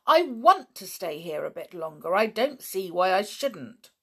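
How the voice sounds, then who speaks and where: A woman's voice: on the low side, big pitch swings, unhurried, creaky, fairly bright tone, slightly rough, heavily acted. A woman voicing a headstrong, hot-tempered young tomboy girl, indignant and outspoken, in a children's storybook. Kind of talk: children's adventure storybook reading.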